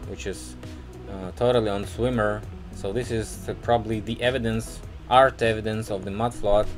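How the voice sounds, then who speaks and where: A man with a Russian accent talking through a casual walking vlog outdoors on a city street, rambling and halting with many restarts. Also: on the low side. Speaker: vlogger.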